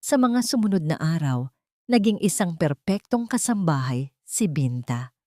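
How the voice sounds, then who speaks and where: Lowish-voiced woman narrating a drama. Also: big pitch swings, measured pace, mellow, dry recording, clean studio sound.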